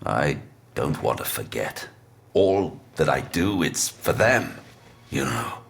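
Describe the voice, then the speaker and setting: An actor playing a weary, haunted detective who narrates his own audio drama in a brooding, deadpan way. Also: rumbling low, takes it slowly, gravelly, slight background noise, full character voice. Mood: haunted, somber. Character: weary, haunted male demon-hunting detective, grimly wry. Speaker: lead character narrating in the first person. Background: dramatic music.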